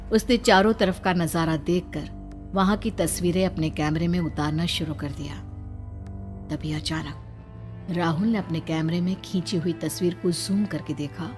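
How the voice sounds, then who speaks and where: Lower-pitched woman, suspenseful story narrator. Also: darkish tone. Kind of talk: scripted dramatic horror story narration.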